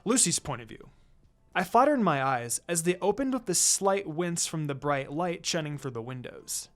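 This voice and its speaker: Expressive storyteller with wide-swinging pitch. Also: normal speed, soft background music. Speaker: fan fiction reader. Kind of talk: dramatised story narration in first person.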